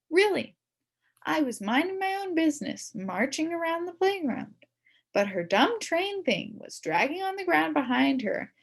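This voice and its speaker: Very animated woman reading a children's story. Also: high voice, vocal fry. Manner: expressive, dramatic emphasis with drawn-out words. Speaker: storybook reader. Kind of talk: animated children's story read-aloud, first-person as a kid.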